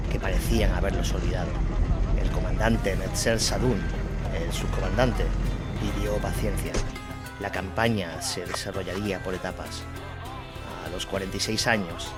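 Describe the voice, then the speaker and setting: Male narrator, very low voice, scripted dramatic war narration, clear music bed behind.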